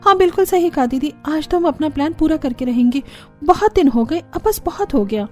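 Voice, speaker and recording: Here is a woman playing a young daughter-in-law from a cartoon tale, pitched very high. She is whiny and fed up, always eager to scheme, with faint music underneath. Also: lively intonation, brisk. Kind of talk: Hindi cartoon story character voice.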